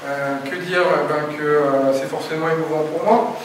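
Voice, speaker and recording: Young male photographer, chatty and moved, heard from a distance in a boomy gallery. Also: steady tone, moderate pace. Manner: chatty, emotional, many fillers. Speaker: photographer at his own exhibition opening. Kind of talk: casual explanation and speech to guests. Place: stone-walled gallery cellar with audience. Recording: echoey room, from far off, noisy.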